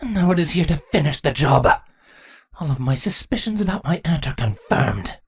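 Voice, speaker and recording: A woman's voice: fairly low pitch, animated, normal speed, darkish tone, voice pushed up. A woman voicing a commanding changeling queen in a fantasy story, stern, grave and authoritative. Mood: bitter.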